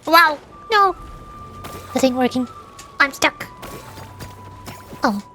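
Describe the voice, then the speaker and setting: A woman's voice: high voice, lively intonation, slow, bright, forceful tone, faint music behind. A young woman streaming a game, chatting playfully with frequent giggles as she comments. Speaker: VTuber streamer.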